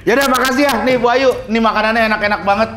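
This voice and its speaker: A man's voice: very high-pitched, fairly even intonation, rapid-fire, sharp tone, slight background noise. A man hosting a casual food chat, joking, laughing often and mimicking accents. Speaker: comedian host. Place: small restaurant.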